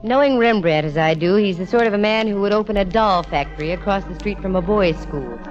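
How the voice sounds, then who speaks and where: A woman's voice: mid-range voice, fairly quick, faint music behind, lightly acted. An American actress playing a breezy, wisecracking, bossy but fond young female detective in vintage radio drama style. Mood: narrating, assured. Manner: wry, teasing, confident. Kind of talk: 1940s-50s radio detective drama acting and narration. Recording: dull, lo-fi old radio recording.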